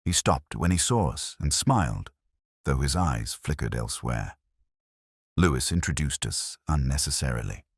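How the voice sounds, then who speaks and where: A man reading a scripted first-person detective story in a measured, literary, wry manner. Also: rumbling low, very animated, breathy edge, dry recording, clean studio sound. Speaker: narrator.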